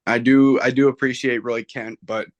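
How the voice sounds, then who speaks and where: A man's voice: medium pitch, flat delivery, moderate pace, dry recording, highs cut off. A young man co-hosting a casual sports podcast, laid-back, with dry quips. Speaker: podcast co-host.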